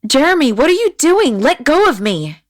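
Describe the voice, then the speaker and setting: A woman's voice: high-pitched, sing-song, moderate pace, no room sound, studio quality, voice pushed up. A woman voicing a wronged wife in an audiobook, emotional, accusing, desperate and defiant. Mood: frightened, defiant. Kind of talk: audiobook character acting.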